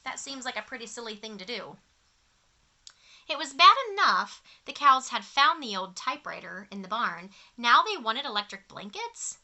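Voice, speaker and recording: A woman's voice: a little high, big pitch swings, moderate pace, sharp tone. A woman reading a picture book aloud to children expressively, in simple phrasing, as a storytime reader. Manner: asks young listeners questions, simple clear phrasing.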